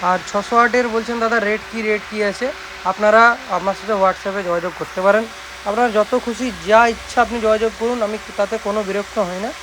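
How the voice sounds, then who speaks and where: Young Bengali man over loud background noise. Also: sits high, fast. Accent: West Bengal Bengali. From West Bengal, India. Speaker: speaker repair technician and channel host. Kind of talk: casual product showcase vlog. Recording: loud steady background noise, amateur recording.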